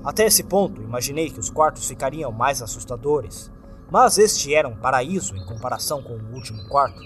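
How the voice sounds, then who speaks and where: A man's voice: medium pitch, expressive, fairly quick, a bit bright. A man with a Brazilian accent narrating a scripted first-person horror story in a dramatic, suspenseful way. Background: background music.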